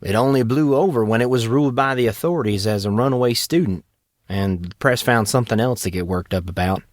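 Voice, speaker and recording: A man's voice: medium pitch, fairly quick, no room sound, studio quality, natural voice. A man doing Grayson, a clever, knowing young man.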